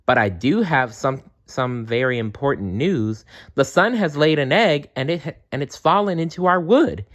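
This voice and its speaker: A tiny, excitable storybook elf girl, acted out by a man, speaks in a raised, swooping voice. She is earnest and emphatic, quick to get cross. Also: medium pitch, lo-fi sound, voice pushed up, close to an everyday voice. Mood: excited. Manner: earnest, wide-eyed, emphatic.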